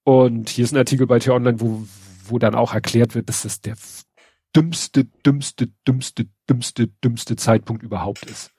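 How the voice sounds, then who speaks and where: Ein Mann mit Schnupfen, der in lockerem Plauderton und mit trockenem Sarkasmus über Neuigkeiten spricht, oft mit Füllwörtern. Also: male voice, medium pitch, fairly even intonation, a little slow, dark tone, slightly rough, dry recording, clean studio sound. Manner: wry, sarcastic, hesitant with many fillers. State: stuffy nose from a cold. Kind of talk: casual news chat.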